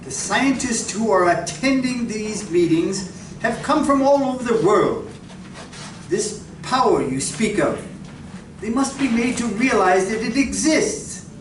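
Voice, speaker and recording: In a distant, echoey recording, an actor plays an inquisitive male professor with a high-pitched voice. He questions carefully and earnestly, as in a stage radio play. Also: expressive, unhurried. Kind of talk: live radio drama acting. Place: library community room, live performance. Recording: echoey room from far off, noisy.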